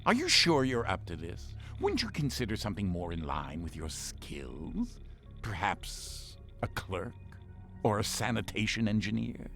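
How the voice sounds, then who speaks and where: Theatrical, snide, creaky AI companion. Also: male voice, on the low side, huge pitch swings, a little slow, a bit bright, faint music behind, exaggerated voice. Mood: condescending. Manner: pompous, grandiloquent, sarcastic. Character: snide, condescending male artificial intelligence ship companion, theatrically disdainful. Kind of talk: scripted game voice-pack acting.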